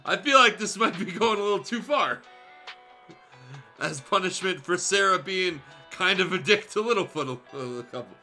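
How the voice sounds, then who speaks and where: A man with a very bright, fairly high voice reads aloud on a livestream. He slips between narration and joking asides in a sardonic, casually sweary way, with guitar music behind him. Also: expressive, normal speed. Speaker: streamer reading fan fiction aloud. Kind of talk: livestream read-aloud with joking off-the-cuff commentary.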